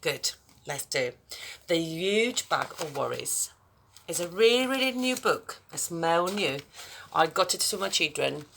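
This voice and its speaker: Woman reading a children's story, creaky low voice. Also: slight background noise. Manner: expressive, addressing young listeners directly. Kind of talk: read-aloud children's story with chatty asides.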